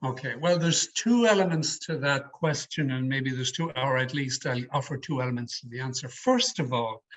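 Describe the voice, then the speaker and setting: A middle-aged man with an Irish accent answering questions as a public speaking coach, deliberate and emphatic, over an online call. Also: mid-range voice, poor audio. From Ireland. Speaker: speaker leading a public speaking education session. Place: online video meeting.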